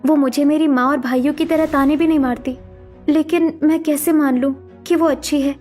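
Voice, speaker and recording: A woman's voice: high voice, fairly even intonation, moderate pace, darkish tone, faint music behind, lightly acted. A woman voicing a hurt, self-doubting young sister-in-law in a dramatic cartoon story, with a plaintive, defensive manner. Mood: torn, doubting.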